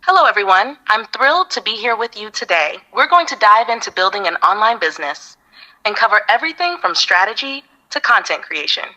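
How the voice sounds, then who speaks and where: A woman's voice: mid-range voice, sing-song, brisk, sharp tone, poor audio. A synthetic woman's voice of an AI assistant, giving upbeat, polished step-by-step advice. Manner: upbeat, polished, evenly paced listing. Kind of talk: scripted advice reading.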